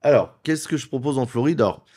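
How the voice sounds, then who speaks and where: A middle-aged man from France, a wealth advisor in a studio interview, making his case persuasively and listing points in quick runs. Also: mid-range voice, mellow. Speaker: wealth advisor and property investment guest. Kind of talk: conversational interview.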